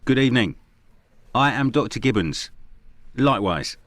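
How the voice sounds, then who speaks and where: An actor playing a frank, measured Victorian clinic doctor in a video game, formal and matter-of-fact. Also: male voice, medium pitch, expressive, fairly bright tone, no echo, lightly acted.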